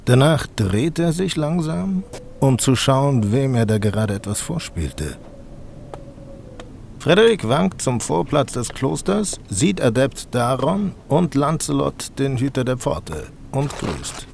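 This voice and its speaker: A man's voice: medium pitch, sing-song, slow pace, creaky, darkish tone, a little hiss or hum, heavily acted. A man playing a sarcastic, grumbling audio play narrator who mocks the story theatrically and breaks character with snide asides. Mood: wry narration. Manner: theatrical, mocking.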